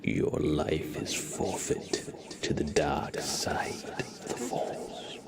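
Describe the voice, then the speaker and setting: An ominous dark lord played by a man whispers in a low, gravelly voice, drawing out each phrase with solemn menace. It is an ASMR roleplay, with clear noise behind it. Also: fairly even intonation, heavily acted. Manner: slow, solemn, drawn-out whispering. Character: ominous, menacing dark-side sorcerer sealing a pact.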